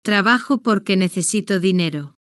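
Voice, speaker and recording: Woman narrator for a learner story, slow and deliberate, dry close sound. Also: mid-range voice, no echo. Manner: slow, deliberate, evenly paced short sentences. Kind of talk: slow scripted first-person story narration for beginners.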